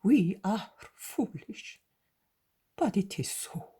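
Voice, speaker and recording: Anxious devoted male disciple read by a woman, pleading whisper, raspy, slow. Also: on the low side, expressive, darkish tone, full character voice.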